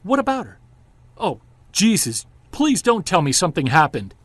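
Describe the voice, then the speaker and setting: A man playing an earnest, weary obsessive, speaking in a confiding, deliberate way, as in an audio-drama diary. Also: sits high, huge pitch swings, noisy background. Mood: alarmed. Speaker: narrator and lead of fiction podcast.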